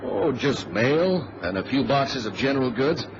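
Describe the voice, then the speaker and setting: Radio drama captain: calm authority, medium pitch, level-headed, clearly noisy vintage recording. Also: male voice, a little slow, dull audio. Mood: casual, wary. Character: steady, level-headed male airline captain.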